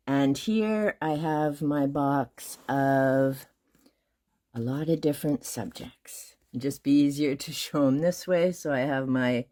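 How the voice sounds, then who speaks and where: A middle-aged woman whose first language is Hungarian talks through her book collection in a low, mellow voice. She moves at an unhurried pace, counting and listing titles methodically. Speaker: booktube host. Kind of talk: casual shelf tour.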